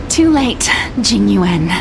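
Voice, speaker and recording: A woman voicing a defiant, bitter conspirator in a fantasy game, with theatrical, drawn-out delivery. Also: medium pitch, gravelly, background noise, full character voice. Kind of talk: anime-style fantasy game voice acting.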